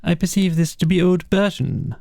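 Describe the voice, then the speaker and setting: Man playing a brisk, hearty storybook rat. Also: high-pitched, vocal fry, mellow, no echo, pitched above the performer's own voice. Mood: approving. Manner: brisk, commanding. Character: hearty, masterful rat, bossy and kindly host.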